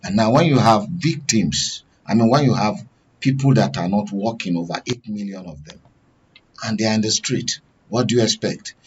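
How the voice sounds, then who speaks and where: A man's voice: on the low side, normal speed, slight background noise. A man with a Nigerian accent giving current affairs analysis as a studio guest, explaining by posing questions and answering them. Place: radio and TV studio. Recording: dull, lo-fi broadcast sound.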